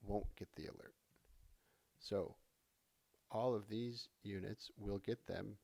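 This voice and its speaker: A man narrating a software tutorial in a casual, conversational way, explaining things step by step as an instructor. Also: fairly low pitch, gravelly. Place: voice-over for a screen recording.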